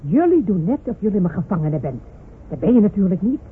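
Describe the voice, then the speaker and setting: A woman's voice: mid-range voice, sing-song, a little fast, lightly acted. An actress playing a bold, bossy village woman with blustering, earthy speech in a radio play. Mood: bossy, hearty. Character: bold, brash village woman searching for her missing John. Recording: noisy, phone-like old radio recording.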